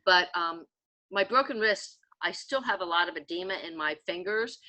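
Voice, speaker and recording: A woman in her sixties with a slightly low voice, recorded dry without room echo. She talks like a podcast guest swapping personal stories, chatty and emphatic as she moves from one anecdote to the next. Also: moderate pace, pushed and bright. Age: 60s. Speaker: podcast guest, homeopathy enthusiast. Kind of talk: conversational personal storytelling.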